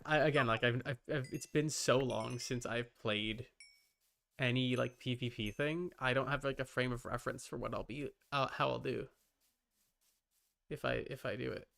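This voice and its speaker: A man livestreaming a game in casual chat, rambling and halting as he mutters to himself and answers viewers. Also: medium pitch, fairly even intonation, gravelly, fairly bright tone.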